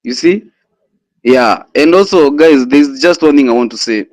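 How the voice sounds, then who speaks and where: A man's voice: mid-range voice, lively intonation, moderate pace, darkish tone, no echo. A man in his twenties with a Kenyan accent gives an impassioned speech as a young activist, switching between English and Swahili with many "you know" fillers and restarts. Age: 20s.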